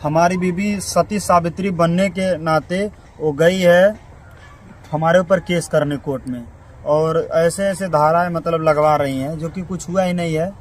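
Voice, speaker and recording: Aggrieved man interviewed, fairly high voice. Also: fairly even intonation, unhurried, a little hiss or hum. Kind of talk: spoken interview, airing a grievance.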